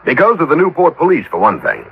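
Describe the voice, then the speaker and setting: An actor playing a harried, firm but apologetic insurance manager in a 1950s radio drama, with a husky voice. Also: male voice, fairly high pitch, huge pitch swings, fast, a little hiss or hum, exaggerated voice. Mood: businesslike. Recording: dull old radio recording.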